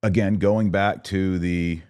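A man's voice: low-pitched, steady tone, slow, darkish tone, clear, resonant tone. A man hosting a podcast, delivering an impassioned political monologue in an emphatic, rhetorical way with repeated phrases for stress. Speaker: podcast host and political commentator.

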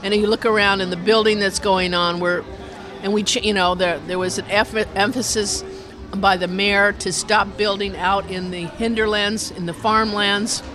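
A community radio organizer talks in a casual interview at a mid-range pitch. The explanations ramble along with animation, circling back and piling up detail. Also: little pitch movement, moderate pace, music in the background. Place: inside a brewery restaurant.

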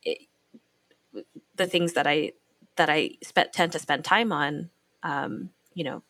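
Low-voiced woman, thoughtful and searching. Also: takes it slowly, a bit bright, no room sound. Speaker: writer and critic who teaches. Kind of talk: reflective conversation.

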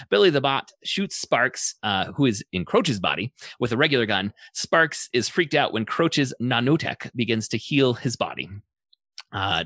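A man hosting a podcast, giving a conversational recap and commentary in an animated, enthusiastic way. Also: mid-range voice, dry recording. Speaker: podcast host summarizing episodes.

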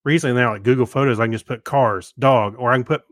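A man's voice: medium pitch, quick pace, no echo, studio quality. A middle-aged man co-hosting a podcast, chatting casually and telling personal anecdotes. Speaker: podcast co-host.

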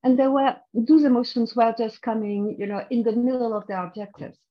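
A woman's voice: a little high, steady tone, a little fast. French-accented woman coach, muffled audio. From France. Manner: thoughtful, explanatory, with frequent restarts. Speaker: executive coach, guest. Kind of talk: podcast interview.

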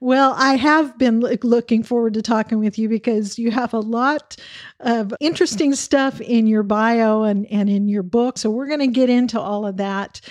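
A woman's voice: a little high, steady tone, moderate pace, darkish tone, no echo. A woman hosting a podcast interview, introducing her guest and asking questions in a friendly, conversational way. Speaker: podcast host.

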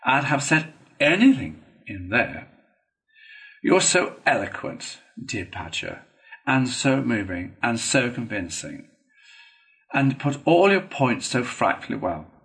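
A man's voice: medium pitch, sing-song, takes it slowly, fairly bright tone, full character voice. A man voicing a conceited, stubborn male toad from a storybook, glib and defiant. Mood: impatient, glib. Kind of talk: storybook character reading.

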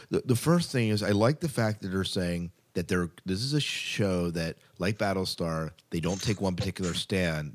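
Male, deep low pitch, podcast co-host, casual commentary, conversational and opinionated.

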